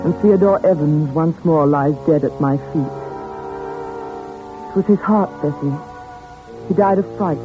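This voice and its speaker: An actress playing a frightened governess in an old radio drama, speaking in a breathless, fearful and pleading way. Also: female voice, sits low. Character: frightened female governess, later a haunted narrator. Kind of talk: 1940s radio acting. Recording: dull old radio recording with organ music behind.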